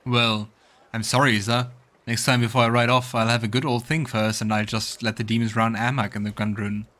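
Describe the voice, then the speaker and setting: A man voicing a big, calm, weary warrior chieftain in a fantasy game, unhurried and gruffly wry. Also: medium pitch, close to an everyday voice.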